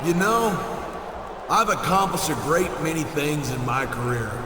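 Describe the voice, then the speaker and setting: A man's voice: a little high, lively intonation, unhurried, echoey room, background noise. A man playing a smug, boastful rich wrestling champion in a video game, talking in a folksy, pompous, mock-modest way. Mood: boastful, grandstanding. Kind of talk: video game cutscene acting.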